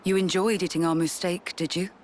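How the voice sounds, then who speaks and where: A woman voicing a calm, formal, dignified military commander in a video game. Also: lowish voice, brisk, a little hiss or hum, natural voice. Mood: composed, gracious. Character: composed, dignified female military commander, dryly teasing. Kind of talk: scripted video game voice acting.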